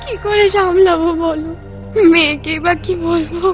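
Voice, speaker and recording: An actress as an anxious cartoon village wife, always questioning. Her plaintive voice is extremely high, and the recording is dull with music clearly behind it. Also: fairly even intonation, normal speed. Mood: tearful. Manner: plaintive, fretful. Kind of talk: cartoon voice acting in Bengali. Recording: dull, band-limited audio under music.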